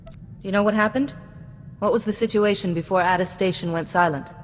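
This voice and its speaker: An actress playing a calm, polite, composed female warrior-diplomat in a video game. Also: medium pitch, fairly quick, faint music behind, natural voice.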